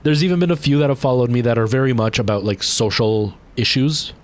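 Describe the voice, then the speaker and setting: A young man casually chatting with viewers as he wraps up a game stream, rambling with lots of fillers and restarts and jumping higher when excited. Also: brisk.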